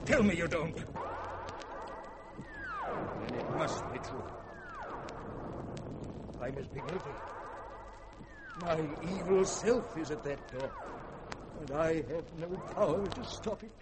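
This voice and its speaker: A man's voice: on the high side, lively intonation, a little slow, pauses often. A man playing a proud, tormented older scientist and father with formal, theatrical diction in a 1950s radio drama. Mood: anguished. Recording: old radio recording with faint noise.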